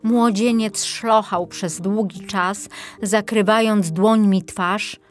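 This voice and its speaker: Slow-paced woman narrating a fairy tale. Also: mid-range voice, steady tone, soft background music.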